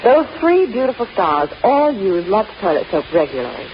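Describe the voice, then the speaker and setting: A woman's voice: medium pitch, very animated, normal speed. A woman reading a scripted 1950s radio commercial in an emphatic testimonial manner. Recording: dull, hissy old radio recording.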